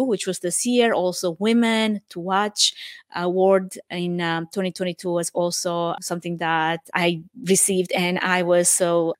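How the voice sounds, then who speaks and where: A woman's voice: mid-range voice, no echo, clean studio sound. A woman in her forties from Romania with a Romanian accent, hosting her own podcast and talking upbeat with frequent light laughs. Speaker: podcast host. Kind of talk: solo podcast monologue.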